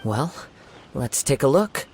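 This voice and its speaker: An actor playing a calm, thoughtful young wandering swordsman in a video game, with measured, formal phrasing. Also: mid-range voice, a little hiss or hum, low-quality recording. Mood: grave, reasoning.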